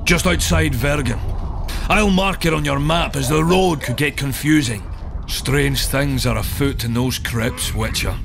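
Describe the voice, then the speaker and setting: An actor playing a seasoned dwarf scout in a video game, telling things in a dry, knowing, measured way. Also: male voice, mid-range voice, creaky. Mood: wry. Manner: wry, measured storytelling. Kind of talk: video game cutscene acting. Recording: music behind the voice.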